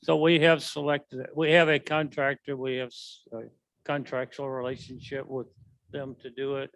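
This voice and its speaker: A man's voice: mid-range voice, little pitch movement, normal speed, a bit bright, rough recording. A man at a community meeting asking plain, direct questions.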